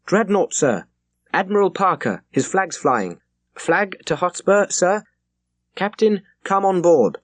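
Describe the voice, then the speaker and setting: A man plays a sailor on lookout in a sea-story audiobook. The voice is pushed high and loud, hailing urgently across the deck. The sound is dry and close. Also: animated, slow pace, no room sound. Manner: urgent, calling out loudly. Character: young naval lookout hailing urgently from the masthead. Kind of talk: audiobook character voice, shouted hail.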